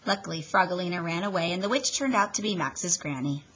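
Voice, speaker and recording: Animated woman reading a children's story. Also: lowish voice, fast, breathy edge. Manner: dramatic, with emphasised sound words. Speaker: read-aloud storyteller.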